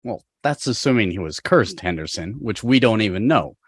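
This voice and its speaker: A man's voice: lowish voice, lively intonation, normal speed, no echo, studio quality. A man acting an exasperated, sarcastic teenage guy in a dramatic table read over a video call. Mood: skeptical, sarcastic.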